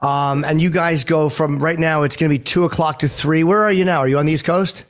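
Fifties dude hosting a radio show, kinda dry and wry, slightly high voice. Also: male voice, fairly even intonation, a little fast. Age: 50s. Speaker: radio host. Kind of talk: casual radio talk show.

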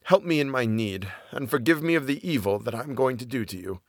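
A man's voice: medium pitch, lively intonation, fairly quick, no echo, clean studio sound. A man voicing a poor, fearful miller and father in a storybook tale, earnest and pleading.

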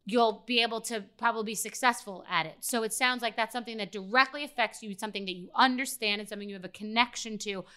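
A woman's voice: a little high, quick pace, hardly any pauses, bright, forceful tone, clear, resonant tone. A woman hosting an interview, earnest and giving advice. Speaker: host. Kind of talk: interview and ad read.

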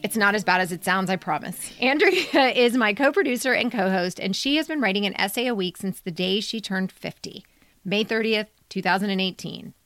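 A woman's voice: medium pitch, expressive, brisk, fairly bright tone, no room sound. A woman podcast co-host and editor talking conversationally, with a candid, casually profane manner. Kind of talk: conversational podcast introduction and commentary.

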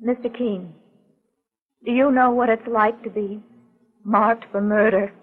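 A woman's voice: a little high, fairly even intonation, unhurried, husky, phone-quality sound. An actress playing a frightened, pleading young lady in a 1940s radio drama, earnest, hesitant and well-mannered. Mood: anxious. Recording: thin, band-limited 1940s radio recording.

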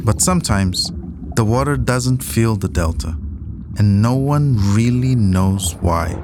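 A man narrating a scripted documentary podcast, telling the story dramatically with deliberate pauses. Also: lowish voice, lively intonation, heavy background noise.

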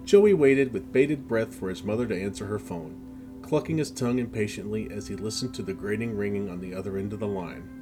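A man's voice: lowish voice, brisk. A man reading a story chapter as a scripted audiobook narration. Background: background music with guitar.

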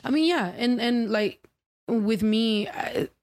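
A woman's voice: a little high, takes it slowly, a little mellow. A woman singer chatting casually as a host, slipping between English and Spanish and swearing freely. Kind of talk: casual chat.

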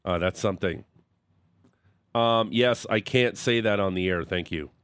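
A man's voice: fairly low pitch, measured pace, a bit bright, clean tone. A man with an Ontario accent hosting a sports radio show, chatting casually and poking fun at himself. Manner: chatty, self-mocking. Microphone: studio mic.

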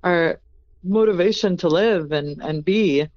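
An earnest chef talks through a long interview answer with thoughtful pauses and fillers. The voice is smooth and clear. The recording sounds a little dull and lo-fi. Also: medium pitch. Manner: earnest, thoughtful, frequent "you know" fillers.

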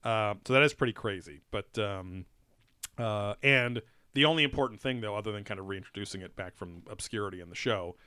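A man's voice: on the low side, fairly quick, sharp tone, clear, resonant tone, no echo, studio quality. A man chatting casually as a podcast host, rambling and swearing freely, with lots of fillers and restarts. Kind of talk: casual chat reviewing a TV show.

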